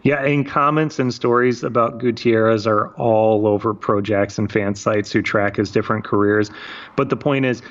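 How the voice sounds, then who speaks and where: A man from Michigan hosts and narrates in a measured way that turns blunt at times. His voice sits low. The recording sounds dull and lo-fi. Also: steady tone. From Michigan, USA. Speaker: podcast host. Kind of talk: scripted narration mixed with casual chat.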